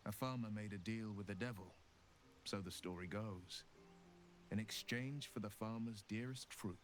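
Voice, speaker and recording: A man playing an earnest hero in a video game, telling things in a measured, solemn way and wary of devils. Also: on the low side, background noise. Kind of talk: video game dialogue acting.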